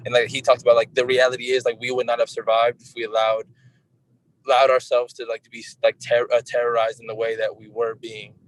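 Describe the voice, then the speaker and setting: A man speaking informally in a group discussion, halting often as he searches for his words. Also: mid-range voice, flat delivery, rough recording.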